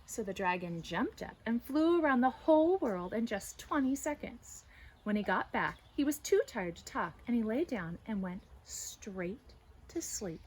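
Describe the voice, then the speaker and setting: A woman reading a children's story aloud as host, in an expressive, careful storytelling manner. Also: a little high. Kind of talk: animated children's read-aloud.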